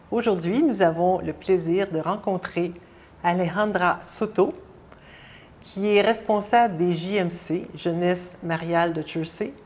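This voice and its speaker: A woman's voice: lowish voice, darkish tone. A woman hosting a conversational television interview. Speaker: interviewer, TV host.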